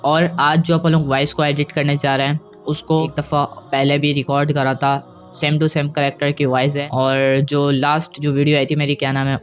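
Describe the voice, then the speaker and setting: A man hosting a casual, step-by-step software tutorial. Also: mid-range voice. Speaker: tutorial host.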